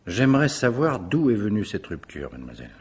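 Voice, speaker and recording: A man's voice: lowish voice, huge pitch swings, a little fast, a little mellow, breathy edge, exaggerated voice. A man acting a shrewd, courteous investigator in a French radio mystery drama, politely probing and dryly insistent.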